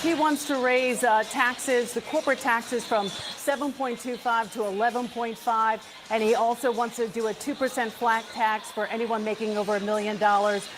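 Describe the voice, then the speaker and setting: A woman anchors live TV election coverage in a somewhat high voice. She talks through the results conversationally, with faint music behind her. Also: steady tone, moderate pace, few pauses, rough recording. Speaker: TV news anchor.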